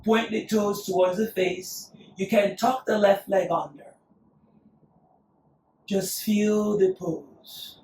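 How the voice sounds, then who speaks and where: A woman teaching a yoga class, calmly cueing breath and poses, her voice still recovering after being lost. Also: mid-range voice, takes it slowly, a little hiss or hum. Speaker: yoga instructor. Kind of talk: guided yoga class instruction. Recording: echoey room, voice from a distance.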